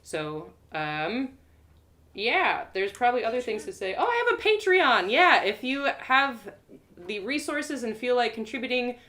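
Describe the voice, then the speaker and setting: A woman's voice: fairly high pitch, big pitch swings, a little slow, a bit bright. An American woman talking casually as storyteller and host to a live online audience on a video call.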